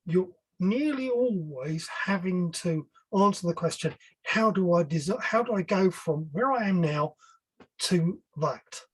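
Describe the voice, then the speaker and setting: An older man speaking informally as an engineer guest on a webinar, halting and often rephrasing himself. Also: high-pitched, lively intonation, breathy edge, rough recording. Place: online video call.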